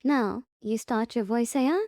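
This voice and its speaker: A woman presenting a step-by-step software tutorial in short, simple instructional sentences. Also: on the high side, moderate pace, no room sound, studio quality.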